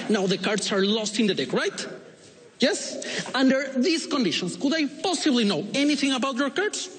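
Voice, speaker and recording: A man's voice: very high voice, in a large hall. A man from Ecuador with an Ecuadorian Spanish accent, performing as a magician on a TV stage with playful, coaxing showman's patter. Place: TV studio stage before an audience.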